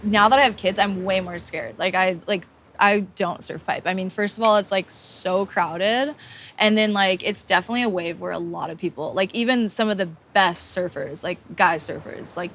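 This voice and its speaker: A woman's voice: mid-range voice, a bit bright, a little hiss or hum. A woman from Hawaii in her thirties, a professional surfer, chatting casually in an interview and leaning on lots of "like" fillers. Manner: laid-back, lots of "like" fillers. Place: outdoors on a beach. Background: music.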